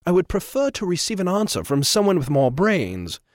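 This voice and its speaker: A man's voice: sits high, wildly swooping pitch, moderate pace, no echo, clean studio sound, pitched above the performer's own voice. A man voicing a haughty ship owner with a pompous, clipped, scolding manner.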